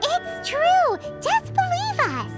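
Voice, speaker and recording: Childlike fairy sidekick, extremely high squeaky voice, animated, blunt and cheeky, video game, music underneath. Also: female voice, slow, heavily acted. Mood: encouraging. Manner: cheeky, outspoken. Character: tiny childlike floating fairy companion, chirpy and blunt.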